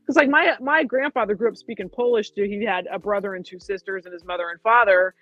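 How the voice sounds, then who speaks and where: A woman's voice: medium pitch, fast talker, no echo. A woman in her forties chatting casually and reflectively, with rambling asides. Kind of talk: casual chat. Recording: dull lo-fi audio.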